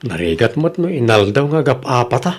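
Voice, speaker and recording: A man's voice: mid-range voice, sing-song, a little fast. A man with an Ilocano Filipino accent playing a beleaguered, protesting husband in a radio comedy drama.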